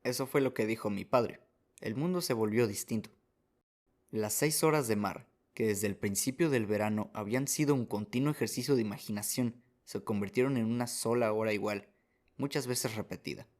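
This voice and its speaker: A man's voice: lowish voice, normal speed, a little mellow. A man reading scripted first-person story narration as the narrator of a radio drama.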